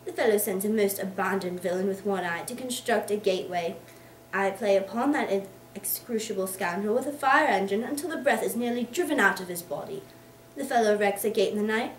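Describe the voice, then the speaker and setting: A woman voicing a hearty, explosive, blustering older English gentleman in an emphatic, theatrical reading of a Victorian novel. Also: medium pitch, fairly quick, close to an everyday voice. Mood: combative, exasperated. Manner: emphatic, theatrical declaiming in long tirades. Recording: echoey room, distant mic, faint noise.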